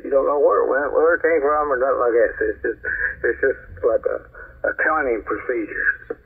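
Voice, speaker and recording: A man's voice: medium pitch, fairly quick, gravelly, husky, phone-quality sound. A man chatting casually as a ham radio operator, rambling in a folksy, plain-spoken way over a shortwave transmission. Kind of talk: casual two-way radio chat.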